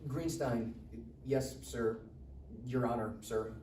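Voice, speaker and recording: A man's voice: medium pitch, fairly even intonation, slow, a little mellow, lightly acted. A man playing a cocky, bitter, foul-mouthed rich schoolboy with a sarcastic manner in a live table read. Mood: meek. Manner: sarcastic, wisecracking. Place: live reading event in a room. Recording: echoey room, distant mic, faint noise.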